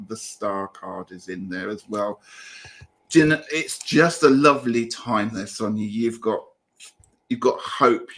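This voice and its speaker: A man with a British accent giving gentle, reassuring tarot readings over a video call. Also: fairly low pitch. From Britain. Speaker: guest tarot reader. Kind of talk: casual livestream tarot reading.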